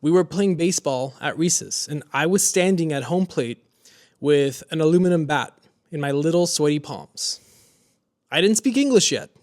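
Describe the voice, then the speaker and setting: Man, Spanish native from Mexico, slightly high-pitched; motivational storyteller, scripted, dramatic dynamics. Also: lively intonation, moderate pace. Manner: dramatic, swings between soft and emphatic delivery. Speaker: motivational speaker. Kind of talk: scripted personal storytelling monologue.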